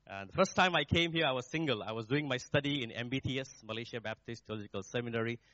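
A man in his forties, a native Nepali speaker with a Nepali accent, preaching in a halting, searching way as a guest speaker at a church. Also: medium pitch, sharp tone, no room sound. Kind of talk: sermon. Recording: dull, dry sound.

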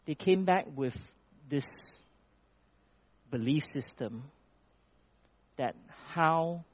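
A man's voice: a little high, animated, very slow, highs cut off, slight background noise. A man from Malaysia preaching a sermon, reading scripture with rising emphasis and then talking plainly with small restarts.

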